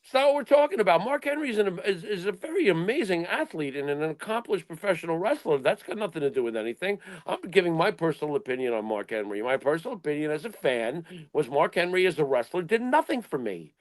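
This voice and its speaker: A man's voice: a little high, big pitch swings, quick pace, hardly any pauses, dry recording, low-quality recording. A middle-aged man co-hosting a casual talk show, blunt and exasperated, swearing and firing off rhetorical questions. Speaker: wrestling talk show co-host. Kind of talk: casual opinionated panel chat.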